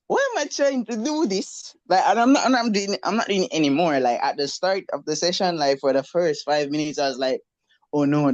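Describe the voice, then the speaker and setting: A man's voice: a little high, darkish tone, no echo. A man from Jamaica with a Jamaican accent, a student talking with his tutor over a video call, hesitant and self-correcting. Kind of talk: casual tutoring session. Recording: muffled video call audio.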